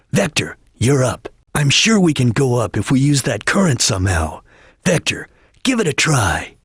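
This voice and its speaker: A man voicing a serious, disciplined chameleon ninja in a video game, speaking in a husky, clipped and measured way as he gives instructions. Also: medium pitch, no room sound, studio quality. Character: serious, disciplined male chameleon ninja detective, calm and watchful. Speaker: video game character giving hints.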